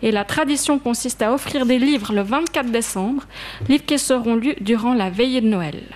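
A woman's voice: on the high side, moderate pace, darkish tone. A woman, a librarian running a radio quiz, patient and encouraging as she gives hints. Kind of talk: quiz hosting and casual chat.

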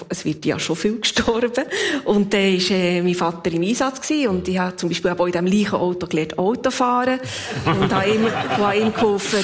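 A woman in her fifties speaks with a Swiss accent at a middling pitch. She shares personal memories casually and reflectively, and laughs easily as an interview guest. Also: background noise. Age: 50s.

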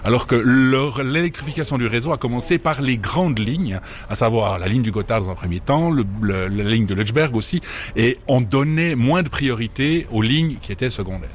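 A man explains technical matters informally. He is measured and precise, with some hesitation. His pitch is average, and light ambient noise sits behind him. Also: expressive.

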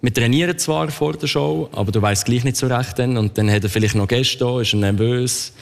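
A man with a Swiss German accent, a circus juggler, speaking calmly and modestly in an interview. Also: medium pitch, fairly quick, gravelly, big-room sound. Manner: calm, modest, thoughtful. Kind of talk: interview chat.

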